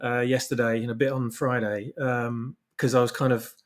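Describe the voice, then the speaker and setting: A man speaking as a podcast guest about his own creative work, chatting casually with halting, restarted phrases. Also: medium pitch, little pitch movement. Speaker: guest, fan audio drama creator. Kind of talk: casual chat.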